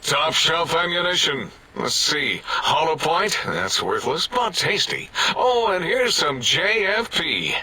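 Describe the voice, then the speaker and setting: An actor playing an irritable, paranoid robotic scientist in a video game, raspy and halting, with grandiose declamatory phrasing. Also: male voice, on the high side, big pitch swings, pushed and bright, full character voice, low-quality recording. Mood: musing, fussy. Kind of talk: video game character acting.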